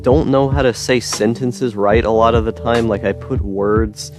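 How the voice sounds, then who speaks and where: A young man talking to camera in a rambling monologue with a droning, monotone voice, full of ums, restarts and frustrated swearing. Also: mid-range voice, moderate pace, over music. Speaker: YouTuber. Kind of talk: personal monologue.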